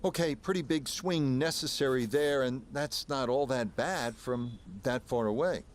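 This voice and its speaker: A man giving calm, measured play-by-play commentary on golf in a video game. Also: medium pitch. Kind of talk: sports commentary.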